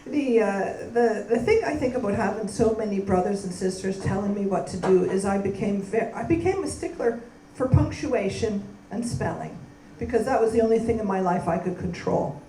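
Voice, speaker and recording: A woman from Canada doing a stand-up comedy set, delivering deadpan punchlines and bantering with the crowd on a club stage. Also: mid-range voice, moderate pace, few pauses, noisy background. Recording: roomy live club sound from a distance with crowd laughter.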